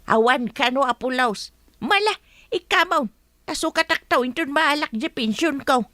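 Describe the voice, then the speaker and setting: A woman's voice: high-pitched, lively intonation, normal speed, bright, forceful tone, no echo, clean studio sound. A woman playing a fretful older mother in an audio drama, speaking animatedly with an Ilocano accent. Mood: insistent. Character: older pensioner mother, plain-living and fretful. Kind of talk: Ilocano radio-style drama acting.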